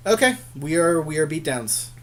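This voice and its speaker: A man's voice: a little high, expressive, normal speed, fairly bright tone. A man co-hosting a podcast, talking casually and agreeably in slangy language as he goes through a card game draft. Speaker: podcast co-host.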